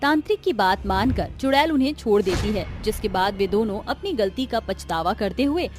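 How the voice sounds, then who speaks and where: A woman's voice: medium pitch, animated, moderate pace, faint music behind. A woman giving scripted storybook narration as the narrator of a tale.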